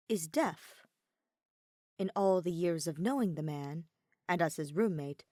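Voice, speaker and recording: A woman's voice: lowish voice, a little mellow, no room sound, clean studio sound. A woman reading a story as scripted narration, expressively, with dramatic emphasis on key words. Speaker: podfic narrator reading fan fiction.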